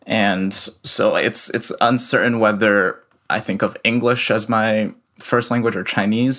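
Young guy from the us, low voice, explains stuff slow and careful, talking from home. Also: fairly bright tone. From United States. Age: young adult. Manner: measured, explanatory, with some fillers. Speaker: guest, researcher. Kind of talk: remote interview answers.

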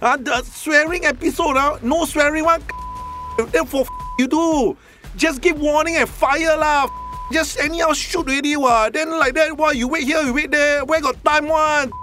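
A man's voice: very high voice, fairly even intonation, normal speed, sharp tone, faint music behind. A man playing a brash, impatient Singaporean comedy character with a Singaporean accent and a blunt, complaining manner. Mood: exasperated. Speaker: comic language expert on a podcast. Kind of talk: scripted comedy dialogue in Singlish slang.

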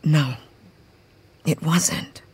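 An actress playing an anguished young woman in a vampire melodrama, breathy and husky, with halting, intensely dramatic delivery. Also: low voice, steady tone, exaggerated voice.

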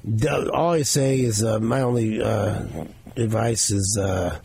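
A man with a gravelly, fry-heavy voice and a sore throat, co-hosting sports radio in loose, teasing banter. A faint hiss sits under his voice. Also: on the low side, steady tone, takes it slowly, darkish tone. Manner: joking, teasing. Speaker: radio co-host.

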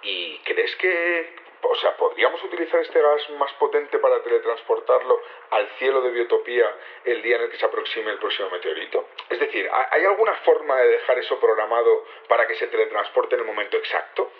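A man's voice: mid-range voice, expressive, fairly quick, close to an everyday voice. A dull, lo-fi radio-like recording of a male rebel leader from Spain played by an actor. He explains his plans in a naturalistic way, with small hesitations, as in an audio drama. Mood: deliberating. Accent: Spanish (Spain). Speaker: actor in a fiction podcast.

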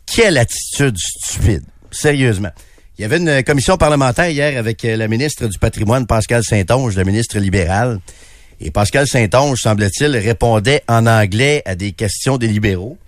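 A man's voice: medium pitch, normal speed, dry recording. Québécois man, radio co-host, emphatic, indignant, dry close sound. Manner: emphatic, indignant, many restarts. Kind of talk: opinionated radio talk. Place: radio studio.

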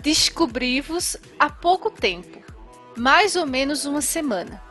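A woman's voice: high-pitched, lively intonation, a little slow, bright, forceful tone, faint music behind. A woman cohosting a podcast, chatting and reading a listener's email. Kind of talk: casual chat.

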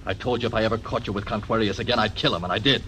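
An actor playing a blunt, fearless gambler, in 1940s radio acting. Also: male voice, on the low side, steady tone, racing, a bit bright, lightly acted. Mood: menacing. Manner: menacing, blunt. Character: hard, fearless gambler and croupier. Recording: dull old radio recording, faint hiss.

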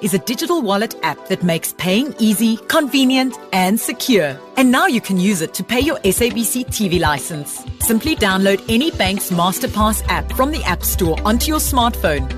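A woman's voice: mid-range voice, very animated, moderate pace, few pauses. Woman's ad read over music. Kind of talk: scripted radio ad.

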